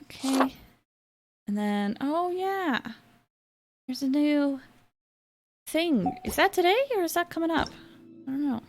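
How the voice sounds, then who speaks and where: Chatty woman streaming over loud game music. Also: high-pitched, lively intonation, takes it slowly, pauses often. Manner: chatty, rambling, thinking aloud. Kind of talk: casual live gameplay commentary. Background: video game music.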